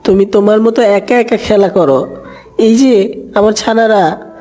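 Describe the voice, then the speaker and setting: A woman voicing a fussy mother duck in an animated fairy tale. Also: medium pitch, measured pace, lightly acted. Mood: scolding. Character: mother duck, fond of pretty chicks, dismissive.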